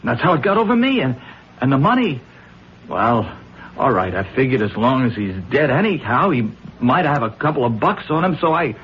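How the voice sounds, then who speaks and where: An actor playing a frantic ex-convict in his thirties in a radio drama, stammering and agitated, with rough street talk. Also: medium pitch, very animated, brisk, natural voice. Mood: nervous, pleading. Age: 30s. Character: frantic, stammering small-time ex-convict on trial. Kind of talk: 1970s radio drama acting. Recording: muffled, noisy old radio broadcast.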